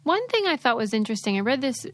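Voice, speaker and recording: A chatty woman co-hosts a podcast in a relaxed conversational style. Her voice sits a little high. The recording is close and dry, with no room sound. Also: quick pace, no echo. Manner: chatty, reads ad copy. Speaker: podcast co-host. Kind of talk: casual chat.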